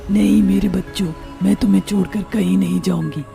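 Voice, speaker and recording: Dark, creaky-voiced sorrowful witch mother acted by a woman. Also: medium pitch, fairly even intonation, fairly quick, faint music behind, close to an everyday voice. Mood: tender. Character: sorrowful, devoted dead mother turned witch. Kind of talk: Hindi animated horror moral story acting.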